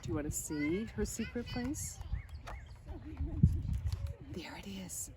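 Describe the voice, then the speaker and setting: A woman reading a children's picture book aloud in an expressive way, now and then speaking directly to her listeners. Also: mid-range voice, heavy background noise. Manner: expressive, pausing for short asides to listeners. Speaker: storybook reader.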